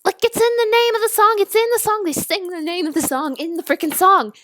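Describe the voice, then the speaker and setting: High-voiced young woman reading aloud. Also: fairly quick, dry recording, studio quality. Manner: chatty, expressive, giggly asides. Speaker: YouTuber reading and reacting to fan fiction. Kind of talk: casual read-aloud with reactions and asides.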